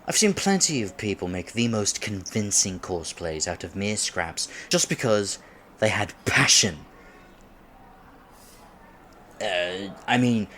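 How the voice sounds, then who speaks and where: A man plays an awkward, geeky blind date in an audio roleplay, with a lowish voice that leaps around expressively. He stammers, gets flustered and puts himself down, over faint ambient noise. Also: a bit bright. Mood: flustered, eager. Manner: flustered, stammering, self-deprecating. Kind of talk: roleplay audio drama acting.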